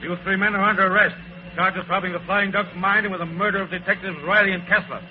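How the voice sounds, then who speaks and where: An actor playing a stern, forceful police officer with a barking, authoritative 1940s radio delivery. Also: male voice, high voice, steady tone, fast, natural voice. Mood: stern, urgent. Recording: muffled, noisy 1940s radio recording.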